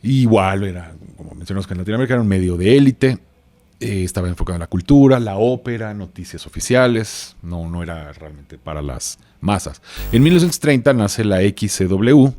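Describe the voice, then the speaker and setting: A man's voice: on the low side, expressive, brisk, a little mellow. A man in his forties with a Mexican accent, explaining things casually as a podcast guest, hesitating and wandering into asides. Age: 40s. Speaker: podcast guest, expert on radio history.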